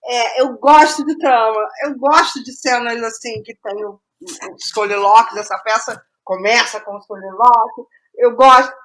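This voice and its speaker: High-voiced Brazilian woman, thinking aloud. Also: fairly even intonation, fairly bright tone, poor audio. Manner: halting, repeats phrases while thinking aloud. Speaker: guest playwright. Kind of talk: casual interview conversation.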